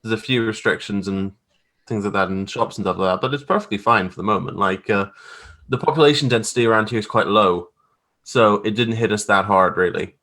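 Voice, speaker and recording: Bright-voiced Belfast man chatting casually. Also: on the low side, a little fast. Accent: Belfast, Northern Irish. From Northern Ireland. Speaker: podcast guest, graphic artist. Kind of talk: casual chat, anecdotes.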